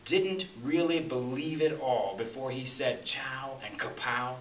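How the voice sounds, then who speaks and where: A man's voice: medium pitch, unhurried, a bit bright. A middle-aged American man performing spoken-word poetry with a dramatic, rhythmic delivery that swells and drops. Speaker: poet reading his own work. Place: public library room at a poetry reading. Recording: distant mic, echoey room, faint hiss.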